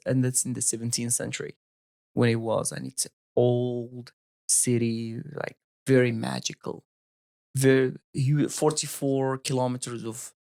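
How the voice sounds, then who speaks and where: A man from Morocco in his thirties with a Moroccan accent, telling his life story as a podcast guest in halting English, often searching for words and restarting. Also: medium pitch, creaky, a little mellow. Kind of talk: casual storytelling interview.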